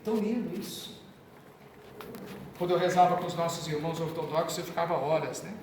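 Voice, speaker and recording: A Brazilian man from São Paulo, a priest, giving an informal training talk to parish catechists, animated and conversational with asides and jokes. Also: a little high, a little fast, background noise. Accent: Brazilian Portuguese, São Paulo. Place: parish hall. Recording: echoey parish hall from far off.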